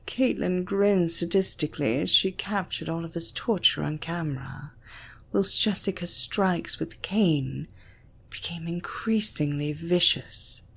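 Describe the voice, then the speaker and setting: A British woman narrating an erotic story in a breathy voice that often drops toward a whisper. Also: lowish voice, unhurried. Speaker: audiobook narrator.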